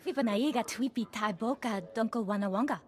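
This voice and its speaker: A female alien played by an actress in a video game. She speaks a rhythmic invented tongue in a fairly high voice. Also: fairly quick, a little hiss or hum, natural voice. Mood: businesslike.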